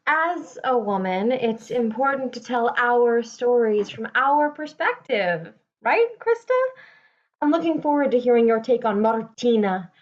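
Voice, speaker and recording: A precious, awkwardly earnest female playwright, played by a woman. Her voice is fairly high and she takes her time, solemn about herself. The video-call sound is a little dull. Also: sing-song, a little slow. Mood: self-important. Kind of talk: satirical dialogue in an online one-act play. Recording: slightly muffled video-call audio.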